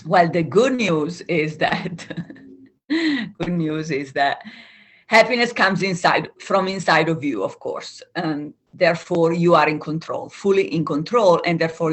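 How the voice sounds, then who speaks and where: A woman from Italy with an Italian accent, a coach calmly explaining ideas as a guest over a video call. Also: sits low, a little slow, slightly rough. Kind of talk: explanatory interview talk.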